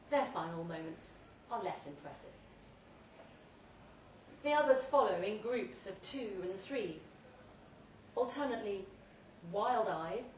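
A woman giving a theatrical, deliberate live reading of a comic short story, with dramatic pauses. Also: mid-range voice. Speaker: story reader at a live literary event. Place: pub event room, before an audience. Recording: roomy, distant live recording, faint noise.

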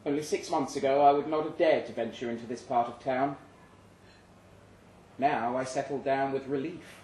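Guy narrating a dark story live, dramatic pauses, medium pitch, roomy echo w a bit of background noise. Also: steady tone. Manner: measured, suspenseful delivery with deliberate pauses. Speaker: story reader. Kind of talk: dramatic literary narration, read aloud live. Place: indoor live reading event.

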